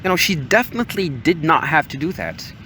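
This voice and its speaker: A man's voice: on the high side, brisk. A young man from Morocco with an American accent, hosting a podcast and telling casual personal stories in a hesitant way. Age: young adult. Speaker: podcast host. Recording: steady outdoor-like background noise, waves. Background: ocean waves ambience.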